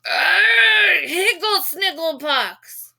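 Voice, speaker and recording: A woman voicing an outraged, loyal middle-school boy in an explosive yelling manner. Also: very high voice, expressive, extremely slow, creaky, voice pushed up, heavily acted. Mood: furious, exploding.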